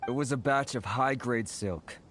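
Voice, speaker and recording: A man's voice: medium pitch, normal speed, a bit bright, a little hiss or hum, close to an everyday voice. A man voicing a flustered, aggrieved merchant in a video game, hesitant and exasperated. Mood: dejected, exasperated.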